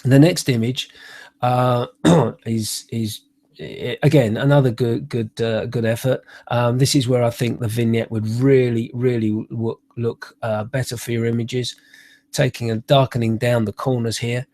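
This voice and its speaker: A man's voice: medium pitch, steady tone, unhurried, a little mellow. A middle-aged man with a cold, sounding snuffly, giving a chatty, hesitant photography critique over a webcam in a small room. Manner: chatty, hesitant, many uhs and restarts. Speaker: photography tutor. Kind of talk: live online workshop critique. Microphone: webcam on a computer.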